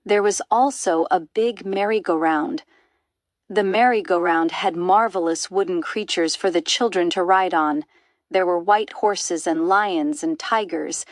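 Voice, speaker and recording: Lower-pitched woman narrating, close and dry. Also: no echo. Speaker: audiobook narrator. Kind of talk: scripted children's story narration.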